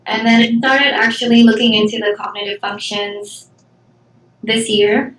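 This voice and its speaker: A woman in her twenties, from the Philippines, answering interview questions softly and hesitantly, with many pauses and ums. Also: mid-range voice, flat delivery. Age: 20s. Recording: echoey room, faint background noise.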